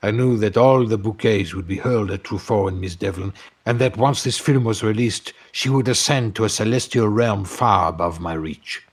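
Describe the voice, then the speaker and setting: An actor playing a jealous, embittered male film producer in an audio drama, speaking formally and with grievance in a gravelly, husky voice. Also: lowish voice, normal speed, lightly acted. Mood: bitter, self-justifying. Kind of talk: dramatic audio fiction confession.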